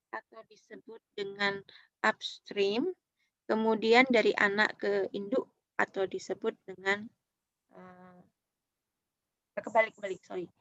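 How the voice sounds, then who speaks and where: A woman with an Indonesian accent giving an explanatory lecture as a university teacher, speaking haltingly and often checking in with short tag words. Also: mid-range voice, a bit bright, no room sound. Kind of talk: online accounting lecture, explanatory.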